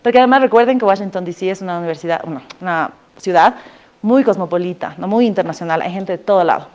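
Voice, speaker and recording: A woman's voice: fairly low pitch, fast. Chatty Bolivian woman, laughing often. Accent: Bolivian Spanish. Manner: animated, laughs often, addresses listeners directly with tag questions. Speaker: former scholarship student giving a talk. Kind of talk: casual storytelling talk to an audience.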